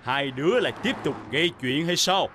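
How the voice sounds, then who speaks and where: A man's voice: a little high, expressive, a little fast, background noise, close to an everyday voice. A man voicing a stern adult officer in a dubbed children's cartoon, speaking in a strict, scolding way. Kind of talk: cartoon dubbing.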